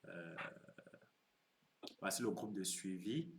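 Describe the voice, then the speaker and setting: A man in his thirties hosting a crypto live stream, chatting with viewers in a relaxed, teasing way and explaining his course. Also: deep voice, takes it slowly. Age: 30s. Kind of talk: casual live stream chat.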